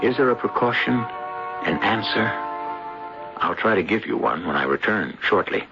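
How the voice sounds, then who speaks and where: Male mystery host: low, gravelly, animated, theatrical scripted narration, muffled old radio sound. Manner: theatrical, rhetorical, deliberate pauses. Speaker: radio drama series host. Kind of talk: scripted dramatic narration to listeners. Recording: dull lo-fi radio recording. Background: music.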